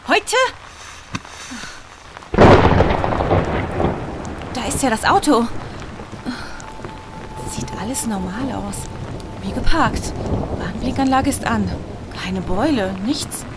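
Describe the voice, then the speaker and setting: An irritable woman driver with a sarcastic edge and a fairly high voice. She speaks in a horror radio play. Rain and vehicle noise are clearly heard behind her. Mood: frustrated, uneasy. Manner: sarcastic, exasperated.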